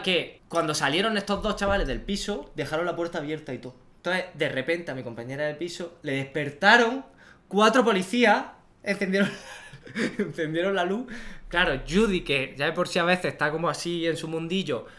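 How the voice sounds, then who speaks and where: Un hombre joven de Almería con acento andaluz, copresentador de un pódcast, cuenta anécdotas de forma animada, riendo e imitando voces, en un estudio pequeño con micrófono de mesa. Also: male voice, fairly high pitch, normal speed. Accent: Andalusian Spanish. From Almería, Spain. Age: young adult. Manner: animated, laughing often, acting out quotes. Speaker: podcast co-host. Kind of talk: casual storytelling chat. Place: small studio room at a desk. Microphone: desk microphone on stand.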